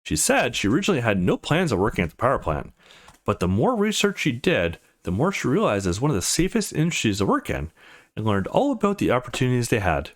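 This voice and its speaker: A man reading visual novel text aloud as a let's play host, in an expressive storytelling style. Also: mid-range voice, quick pace.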